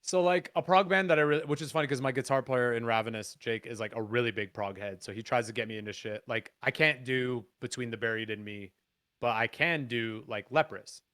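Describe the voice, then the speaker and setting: A man from Canada with a raspy, low voice, chatting on a podcast as a guest musician, animated and swearing freely. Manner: animated, swears freely, quick excited bursts. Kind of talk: casual podcast chat.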